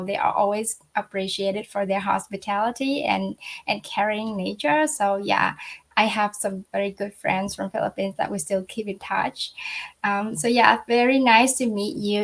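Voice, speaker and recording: A woman's voice: mid-range voice, poor audio. Polite, hesitant woman interview host. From Vietnam. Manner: hesitant, many fillers, polite. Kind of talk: casual interview chat.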